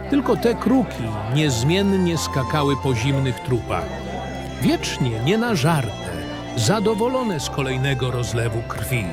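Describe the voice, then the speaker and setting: Male narrator for fantasy audio drama: world-weary baron recounting dark memories, expressive range, clearly scored with music. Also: medium pitch. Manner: grave, literary storytelling.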